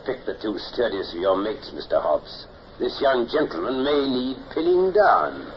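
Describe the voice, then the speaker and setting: An actor playing a tyrannical, suspicious British naval captain, imperious and sarcastic, in a mid-century radio drama. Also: male voice, medium pitch, sing-song, a little slow. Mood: silky menace. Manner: sardonic, imperious, swinging from murmurs to bellowed orders. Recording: dull, hissy old radio recording.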